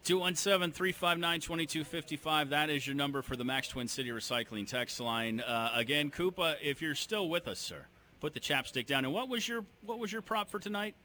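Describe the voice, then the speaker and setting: Bright-voiced man hosting sports radio. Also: on the high side, fairly quick, slight background noise. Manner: upbeat, reads text line and specials. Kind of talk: casual sports talk and sponsor reads. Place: sports bar remote broadcast. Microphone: headphones and studio mic.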